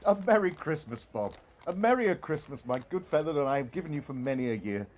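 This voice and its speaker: An actor playing an elderly reformed miser in an audio drama, with theatrical, exclamatory Victorian speech. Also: male voice, fairly high pitch, expressive, fairly quick, a little mellow, a little hiss or hum, natural voice. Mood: jubilant. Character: elderly reformed miser, giddy with joy and eager generosity.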